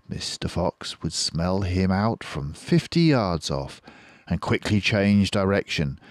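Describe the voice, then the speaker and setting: A man's voice: sits low, dry recording. An older man reading a children's story aloud as a storyteller. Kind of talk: children's audiobook narration.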